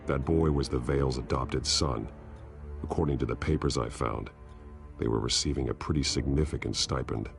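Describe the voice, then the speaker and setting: Brooding vigilante hero for a game, super deep and gravelly, terse, loud music under it. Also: male voice, moderate pace. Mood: grim, serious. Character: brooding masked vigilante hero, grim, protective, adult man. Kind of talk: dramatic video game acting.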